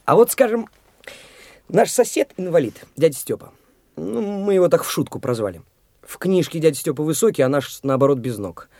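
A man's voice: fairly high pitch, lively intonation, normal speed, dark tone, no echo. An actor playing Mitya, a brash, pushy young man who talks in a taunting, blunt way, in a studio radio play.

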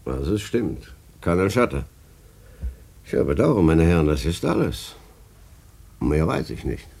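Husky, animated army major. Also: male voice, rumbling low, unhurried, full character voice. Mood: dismissive. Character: harried male army major, apologetic and brusque. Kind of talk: German radio play acting.

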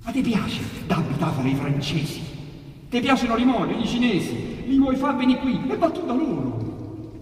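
A man with a Roman accent reciting dialect poetry as theatrical storytelling, emphatic and colloquial, with dramatic pauses. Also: very high voice, big pitch swings, darkish tone. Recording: very echoey hall from far off, faint noise.

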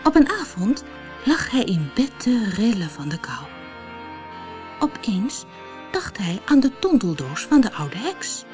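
A woman reading a fairy tale as an audiobook storyteller, expressive and dramatic in her scripted narration. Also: medium pitch, a little slow, faint music behind.

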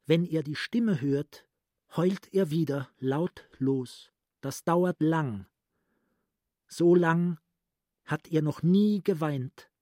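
A man's voice: a little high, slow pace, mellow, no room sound, studio quality. A male actor playing a cultured, introspective psychiatrist in a literary radio play. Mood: pensive. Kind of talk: literary radio drama monologue.

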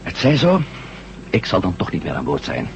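An old radio drama recording, dull and clearly noisy. a bold, quick-witted astronaut hero. His pitch moves freely as he persuades and takes decisions. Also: huge pitch swings, exaggerated voice. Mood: determined. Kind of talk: Dutch radio drama acting.

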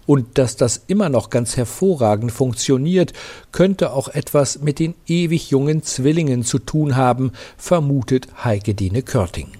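Mellow-voiced man narrating a radio feature. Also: mid-range voice, lively intonation, unhurried. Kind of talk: scripted narration.

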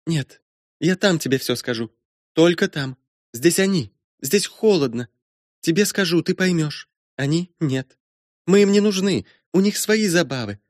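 Man voicing a high-pitched desperate ghost girl. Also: animated, measured pace, no room sound, studio quality. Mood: pleading, resentful. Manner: plaintive, insistent. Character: desperate, shivering, reproachful young ghost girl.

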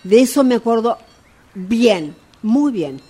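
Radio drama: actress as firm, patronising Argentine woman fortune-teller, theatrical and exasperated, highish voice with big swoops. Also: lively intonation, slow, mellow, husky, exaggerated voice. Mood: attentive, reacting. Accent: Argentine Rioplatense. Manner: theatrical, reactive, emphatic repetition. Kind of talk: radio play acting.

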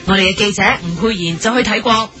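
A woman's voice: medium pitch, steady tone, fast talker, sharp tone, faint music behind, lightly acted. A woman playing a formal news anchor in a parody bulletin, with a Hong Kong Cantonese accent.

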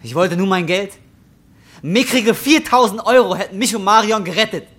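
Desperate, volatile young man, swinging pitch. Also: big pitch swings, moderate pace, a bit bright, dry recording. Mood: bitter, furious. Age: young adult. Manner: intense, volatile. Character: desperate, embittered young roofer out for revenge. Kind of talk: radio drama acting.

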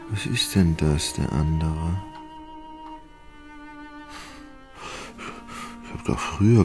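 Lethargic gentleman, fretful whine, unhurried delivery, self-pitying, radio drama, music behind. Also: low-pitched, full character voice. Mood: brooding, self-pitying. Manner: querulous, whining, given to sighing self-pity. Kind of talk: radio play acting.

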